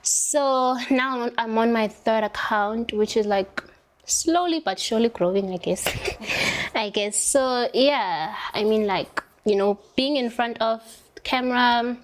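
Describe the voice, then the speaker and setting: A young woman from South Africa with a South African accent, chatting casually while doing her makeup, full of "like" fillers and drawn-out words. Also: fairly high pitch. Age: young adult.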